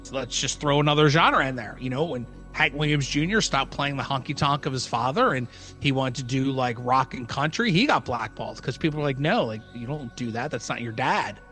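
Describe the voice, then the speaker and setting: A male podcast co-host with an average-pitched voice. He chats informally and loosely, rambling from one example to the next, with faint music in the recording. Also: a little fast, fairly bright tone. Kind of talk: casual conversational podcast talk.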